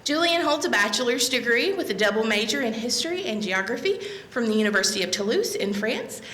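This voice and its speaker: A woman in her thirties gives a friendly welcome from a podium mic, her voice bright and a touch high. The library room rings with echo, and a low rumble sits underneath. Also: lively intonation, a little fast. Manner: friendly, reads the introduction with fillers. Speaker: library manager introducing a speaker. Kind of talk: welcome and speaker introduction. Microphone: podium microphone. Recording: echoey room.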